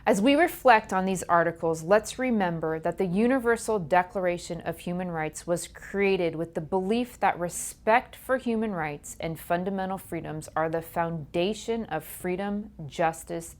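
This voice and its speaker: Woman host reading her script slow and earnest, lowish voice, trails off quiet at the end of lines. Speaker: host of a human rights talk show. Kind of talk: scripted educational talk.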